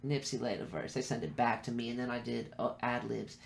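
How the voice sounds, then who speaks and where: Slangy Californian man over clear background noise. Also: mid-range voice, a little fast. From San Francisco Bay Area, California, US. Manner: slangy, animated storytelling with acted-out quotes. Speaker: musician interviewed as guest. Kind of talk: casual podcast conversation.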